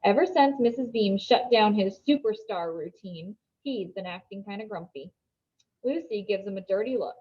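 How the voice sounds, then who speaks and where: A woman reading a children's novel aloud as an expressive storybook narrator. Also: mid-range voice, normal speed, husky, lo-fi sound.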